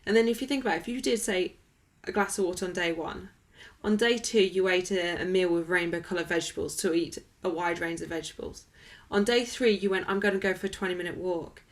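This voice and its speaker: A woman's voice: on the low side, fast. A woman being interviewed as a wellness coach, talking conversationally in an emphatic, motivational way with everyday examples.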